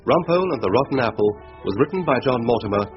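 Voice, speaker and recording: A British man reading scripted radio credits as an announcer, in a measured, formal way. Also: medium pitch, lively intonation. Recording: muffled old radio broadcast. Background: music.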